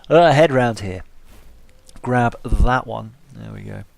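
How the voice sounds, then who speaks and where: A man's voice: fairly low pitch, slow pace, creaky, no room sound. A man giving casual playthrough commentary with wry, self-deprecating humour. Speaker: gaming video host.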